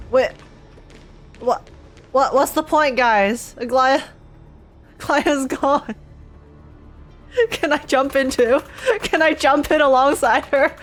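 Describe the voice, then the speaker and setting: A woman's voice: sits high, slow, long pauses, soft background music. A young woman streamer reacting live to a game, dramatic and slangy, often repeating herself.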